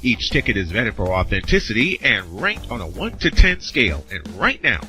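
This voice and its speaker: A man's voice: fairly low pitch, big pitch swings, moderate pace, pushed and bright. A man, the host, delivering a scripted sponsor read. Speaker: host reading a sponsor spot. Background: music bed.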